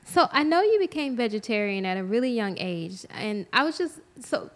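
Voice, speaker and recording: A young woman hosts an interview with clear enthusiasm, dropping frequent "likes" and "mm-hmms" as she listens. Her voice sits a bit higher than average. She sounds keen and upbeat. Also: very animated, moderate pace. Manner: enthusiastic, says like and mm-hmm often. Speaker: interview host. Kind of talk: casual interview chat.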